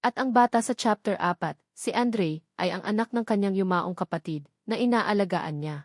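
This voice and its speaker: A woman narrates a romantic drama in the first person, in a scripted storytelling style. Her voice sits at a medium pitch, and the sound is very dry with hardly any room on it. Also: normal speed, no echo.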